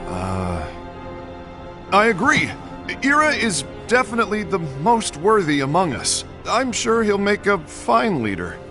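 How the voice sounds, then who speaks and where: A man's voice: sits high, wildly swooping pitch, measured pace, exaggerated voice. An actor playing an earnest, headstrong male apprentice in a dramatic video game scene, speaking with intensity. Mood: flustered, earnest. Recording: music behind the voice.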